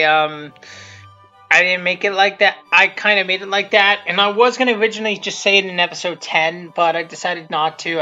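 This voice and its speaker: A woman giving casual, unscripted video commentary, rambling and haltingly restarting her sentences as she goes. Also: on the low side, bright, forceful tone. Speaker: creator of a fan abridged series giving commentary. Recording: dull lo-fi sound with music underneath. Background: music from the video being commented on.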